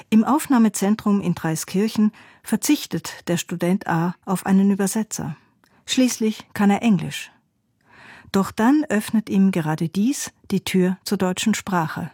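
A woman narrates a radio feature in an even, informative tone. Her voice is a little husky at a middle pitch, recorded dry and close in a studio. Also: no room sound. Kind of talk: scripted narration.

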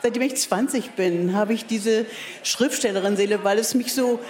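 A woman's voice: medium pitch, normal speed, darkish tone. Older Berlin writer woman, hesitant, wry, self-mocking interview answers. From East Germany. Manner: hesitant, many fillers and restarts. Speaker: writer and guest speaker.

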